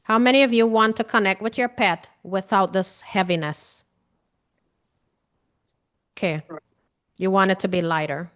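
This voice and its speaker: A woman's voice: medium pitch, steady tone, measured pace, long pauses, a bit bright. A woman with a Guyanese accent, an emotional healing coach, speaking earnestly and casually straight to her viewers, repeating points and asking them questions. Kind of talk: casual direct-to-camera talk.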